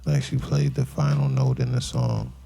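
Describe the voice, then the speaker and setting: An actor playing a weary, brooding detective who narrates his case in an audio crime drama with somber, deliberate pauses. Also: very deep voice, steady tone, vocal fry, dark tone, faint music behind, full character voice. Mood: grave, brooding.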